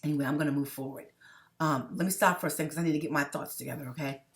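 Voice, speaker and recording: A woman's voice: low voice, steady tone, rapid-fire. Rambling woman talking to her viewers. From New York City. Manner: rambling, restarts often, keeps asking the viewers "okay?". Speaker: vlogger. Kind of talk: personal monologue to viewers.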